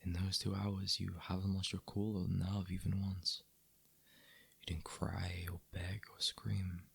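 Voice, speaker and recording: A man playing a wry, gentlemanly mafia boss in an intimate ASMR audio roleplay, with a husky, gravelly low voice and a dry, sardonic, conversational manner. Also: monotone, unhurried, no echo, heavily acted. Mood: apologetic, soothing. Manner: dry, conversational, sardonic, addressing listener as doll.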